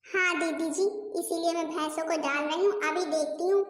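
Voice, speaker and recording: A woman's voice: extremely high pitch, fairly even intonation, normal speed. A woman voicing an aggrieved, scheming young village daughter-in-law in a comedy cartoon, chatty and complaining. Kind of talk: Hindi comedy cartoon dialogue. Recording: echoey, long reverberant room sound.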